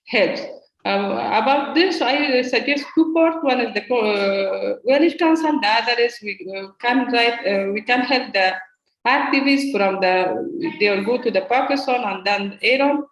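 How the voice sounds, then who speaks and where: Woman, non-native English, slightly high voice, halting and filler-heavy, roomy echo. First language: not English. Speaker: Afghan parliamentarian in exile. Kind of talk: roundtable remarks.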